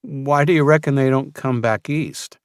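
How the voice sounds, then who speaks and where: A man voicing a timid, naive young crew rookie in an audiobook, hesitant and slow-witted. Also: medium pitch, creaky, clean tone, dry recording, clean studio sound, voice pushed up, natural voice. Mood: timid, unsure.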